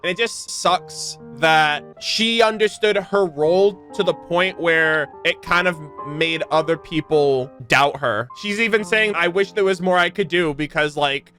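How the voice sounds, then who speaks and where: Bright, high-voiced man reacting to a game. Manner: emotionally invested, informal. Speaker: gaming video host. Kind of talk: casual reaction commentary.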